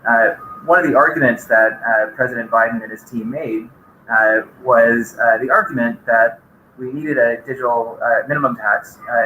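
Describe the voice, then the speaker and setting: Middle-aged man, conference speaker, halting delivery full of fillers; roomy echo on the mic. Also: medium pitch, pushed and bright, a little hiss or hum. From Bahamas. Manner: hesitant, many filler "uh"s. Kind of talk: conference talk.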